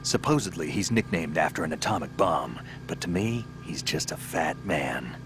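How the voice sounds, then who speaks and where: A man playing a gruff, guarded veteran soldier in a video game, with a terse, dry, sardonic delivery. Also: rumbling low, expressive, normal speed, over music. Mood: wry, dismissive. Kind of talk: dramatic video game voice acting.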